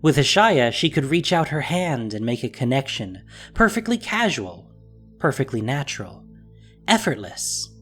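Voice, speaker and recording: A man's voice: mid-range voice, very animated, normal speed, a bit bright. A man reading scripted story narration as the narrator of an audio drama. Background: music.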